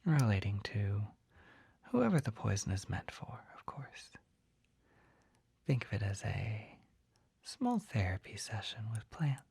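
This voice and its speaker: Performer as timid apothecary shopkeeper, very breathy whisper, slow and halting, easily flustered, ASMR roleplay, dry close sound. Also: medium pitch, big pitch swings, darkish tone, no echo, full character voice. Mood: gentle, helpful. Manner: hesitant, halting, apologetic, easily embarrassed. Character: shy, flustered, gentle apothecary shopkeeper selling poisons.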